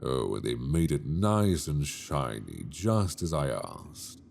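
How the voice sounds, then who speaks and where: A man voicing a possessive, teasing dragon king in human form in an ASMR audio roleplay, speaking slowly and intimately into a binaural mic. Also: sing-song, a little hiss or hum, heavily acted. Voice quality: deep, gravelly. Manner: slow, intimate, teasing, soft-spoken.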